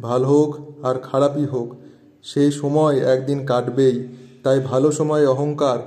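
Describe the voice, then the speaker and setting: Man acting a mellow, solemn wandering holy man. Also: medium pitch, flat delivery, natural voice. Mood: solemn, reassuring. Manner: measured, solemn, preachy. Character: wise, calm, kindly wandering holy man, begging alms. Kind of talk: cartoon voice acting.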